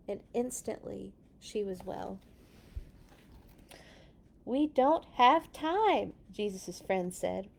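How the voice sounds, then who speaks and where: A woman's voice: mid-range voice, creaky, dark tone, a little hiss or hum. A woman reading picture books aloud to children, telling the stories expressively and talking to the young listeners between passages. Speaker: children's story reader. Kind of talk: children's read-along storytelling.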